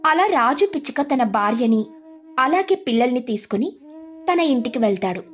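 A woman's voice: fairly high pitch, sing-song, normal speed. A woman reading scripted narration for a children's story as its narrator.

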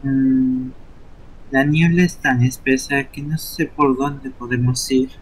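A man voicing an excitable, curious young male fox-like creature explorer in game dialogue, with emphatic delivery. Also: mid-range voice, slow pace, a little hiss or hum, close to an everyday voice, rough recording. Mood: puzzled.

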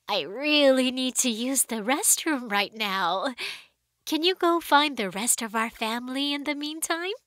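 Kids' cartoon: caring mama shark, bright and slow-paced, motherly, emphatic, easily flustered. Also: sits high, animated, no echo, clean studio sound. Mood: relieved, urgent. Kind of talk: children's cartoon acting.

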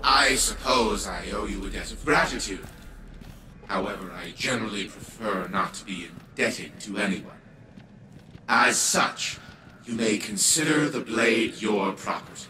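An actor playing an arrogant old scientist's AI in a video game, speaking with pompous, formal condescension. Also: male voice, on the low side, lively intonation, measured pace, noisy background. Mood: grudging. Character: arrogant old male scientist's AI, imperious and disdainful. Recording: game audio under loud music.

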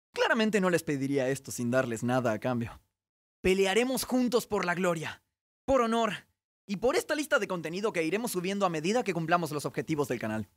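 Theatrical young man with swooping delivery. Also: high-pitched. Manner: theatrical, mock-grand royal proclamations, deadpan asides. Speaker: animation channel host and voice actor. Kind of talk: scripted comic narration for an animated video. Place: recording studio. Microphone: studio microphone.

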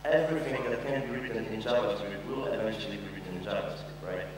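A man's voice: fairly low pitch, steady tone, fast talker, far from the mic, slight background noise. Man joking on stage in an echoey hall. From Poland. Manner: joking, loose, with frequent fillers and swearing. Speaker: conference speaker. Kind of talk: casual tech talk. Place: large conference hall with an audience. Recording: echoey hall recorded from afar.

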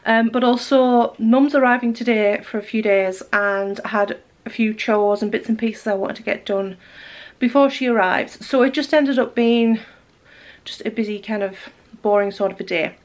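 A woman in her forties, a bit croaky, chatting casually to camera in her living room about her day and her knitting, with lots of ums. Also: fairly high pitch, normal speed. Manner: chatty, rambling with many ums. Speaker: knitting vlogger. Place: living room at home, indoors. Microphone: camera close in front.

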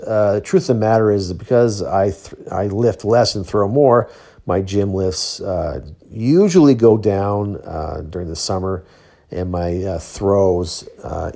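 A man's voice: lowish voice, mellow. A man of about fifty giving a casual, matter-of-fact voice-over as an athlete on a training vlog. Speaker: athlete vlogger, Highland games thrower.

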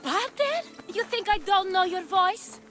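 An actress playing a gentle, trusting young daughter in a video game, speaking with soft earnestness. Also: female voice, extremely high pitch, animated, measured pace, full character voice. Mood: hopeful. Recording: music behind the voice. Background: music.

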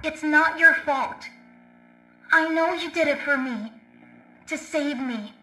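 A woman's voice: high voice, slow pace, bright, forceful tone, echoey room, exaggerated voice, rough recording. A woman playing an anxious, urgent young boy who earnestly warns his friend in a video game. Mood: earnest, apologetic.

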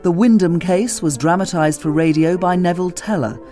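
Brief: radio announcer, mellow and formal, reading credits. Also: male voice, high-pitched, normal speed, soft background music. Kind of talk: scripted radio cast credits.

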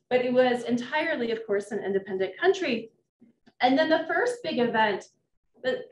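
Woman, professor lecturing, slightly high voice, hesitant ums, echoey lecture room, faint hiss. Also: muffled recording. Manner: frequent ums and uhs, restarts, explanatory. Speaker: political science professor. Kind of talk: academic lecture on a slideshow. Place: lecture room with projector screen.

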